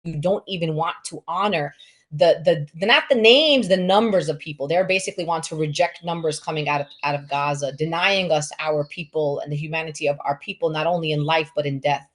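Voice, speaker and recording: A woman's voice: low-pitched, fairly quick, fairly bright tone. A woman hosting a political event, speaking off the cuff in an impassioned, emphatic way and repeating words to press her point. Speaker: host and moderator introducing panelists.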